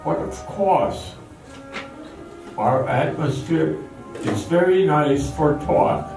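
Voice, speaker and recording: Man playing a slow, courtly waiter in an echoey hall. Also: on the high side, vocal fry. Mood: gracious. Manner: formal, courtly. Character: courteous French restaurant waiter, gracious and attentive. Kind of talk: stage radio drama acting. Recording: echoey hall from far off, music.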